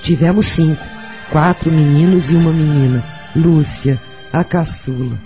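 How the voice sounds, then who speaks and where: An actress playing a gentle, motherly Brazilian woman spirit, reflecting solemnly in a radio drama monologue. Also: deep voice, fairly even intonation, a little slow. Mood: reminiscing. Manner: solemn, reminiscing. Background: background music.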